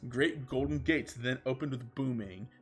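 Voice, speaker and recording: A man's voice: mid-range voice, moderate pace. A man reading fan fiction aloud as a comedic, mock-epic narration, sounding amused. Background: music.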